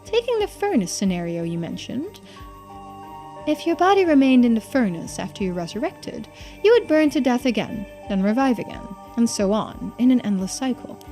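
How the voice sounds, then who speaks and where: A woman voicing a stern, all-knowing authority on immortality in a visual novel game, with formal, deliberate pronouncements. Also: on the high side, very animated, dark tone, soft background music. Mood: grave, matter-of-fact.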